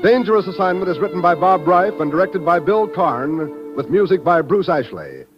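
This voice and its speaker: High-pitched vintage American radio announcer man. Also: very animated, normal speed. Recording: muffled old radio recording with music.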